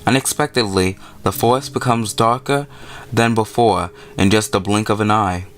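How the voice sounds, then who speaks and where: Low-voiced man reading a game aloud. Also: normal speed, faint music behind. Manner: stumbles over words while reading, correcting himself. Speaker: let's-play host. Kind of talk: reading a visual novel aloud with casual commentary.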